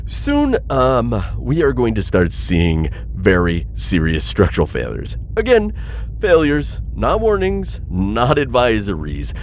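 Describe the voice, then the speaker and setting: A man's voice: mid-range voice, wildly swooping pitch, slow pace, a little hiss or hum, full character voice. A man playing an anxious, pleading insectoid alien bounty hunter in a sci-fi audio roleplay, flustered, hesitant and formally wordy. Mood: grave, anxious. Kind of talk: scripted audio roleplay addressed to listener.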